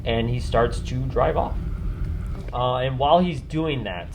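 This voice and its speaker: A man acts as game master for a tabletop role-playing session. He talks informally and haltingly at an ordinary pitch, as if chatting to the players. There is some background noise around his voice.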